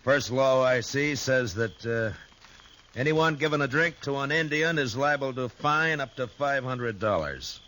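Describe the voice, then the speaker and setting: An actor playing a calm, shrewd frontier marshal in a 1950s radio western, talking dryly and firmly. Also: male voice, medium pitch, unhurried. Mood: steady. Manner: calm, dry and firm. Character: steady frontier lawman, grown man, calm and shrewd. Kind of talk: 1950s radio drama acting. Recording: faint hiss of an old radio recording.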